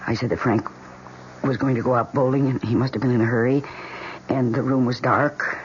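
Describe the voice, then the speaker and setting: An actress playing an anxious, secretive young wife in a 1970s radio drama, speaking in a hesitant, emotional, intimate way. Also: female voice, rumbling low, fairly even intonation, a little fast. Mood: hesitant, confessing. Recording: dull, hissy vintage radio recording.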